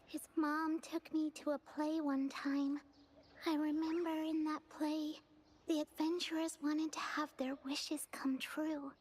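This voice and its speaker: Shy young child, very high, unhurried, hesitant and polite, game dialogue with faint music. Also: female voice, level tone, a little mellow. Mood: wistful. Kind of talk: video game voice acting.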